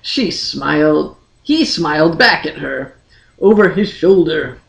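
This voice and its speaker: A woman narrating a fantasy story in a measured, dramatic storybook style with deliberate, drawn-out phrasing. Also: deep voice, expressive, gravelly. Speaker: audiobook reader, channel host. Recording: echoey, roomy sound.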